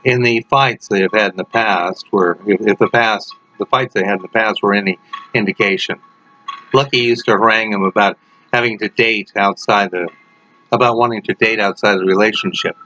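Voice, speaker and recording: A man reading a dark horror story aloud as its author, in a theatrical, dramatic delivery. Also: lowish voice, fairly even intonation, normal speed, soft background music. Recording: noisy, dull lo-fi home recording.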